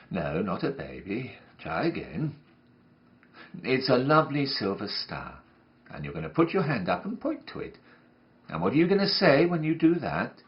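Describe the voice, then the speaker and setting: A man performing a comic monologue as a prim, patient, brightly flustered woman nursery teacher, coaxing and gently scolding small children. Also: on the low side, muffled recording.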